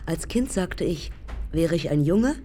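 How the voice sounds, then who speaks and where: Junge Fliegerin, tiefere Frauenstimme. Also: female voice, lowish voice, lively intonation, measured pace, mellow, faint music behind. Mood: dreamy, excited. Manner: reflective, clipped, fragmentary storytelling. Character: young woman aviation pioneer of 1920s Germany, eager and headstrong. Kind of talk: radio play monologue and dialogue.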